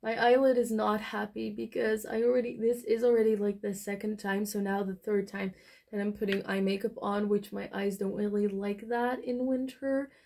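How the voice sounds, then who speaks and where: A woman's voice: mid-range voice, little pitch movement, normal speed, vocal fry, mellow. A young woman chatting casually through a makeup tutorial, rambling with many fillers. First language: Dutch. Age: young adult. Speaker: beauty vlogger. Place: small bedroom, indoors.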